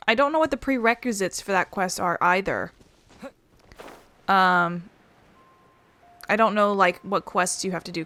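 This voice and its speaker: A woman's voice: mid-range voice, fairly bright tone. A woman giving casual, rambling let's play commentary, thinking aloud as she goes. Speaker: gaming channel host.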